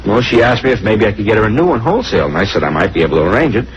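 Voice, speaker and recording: Casting: man to play nervous American traveling salesman, defensive and rambling, quick delivery, dull noisy vintage radio sound. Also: fairly low pitch, animated, lightly acted. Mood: explaining. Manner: rambling, colloquial, self-justifying. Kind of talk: 1950s radio crime drama acting.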